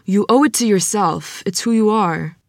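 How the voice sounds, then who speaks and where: A woman's voice: medium pitch, normal speed, creaky, dry recording, clean studio sound. A woman playing an ailing, frank, devout small-town organist in a table read, with folksy, plainspoken phrasing. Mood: urgent, encouraging. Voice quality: coughing.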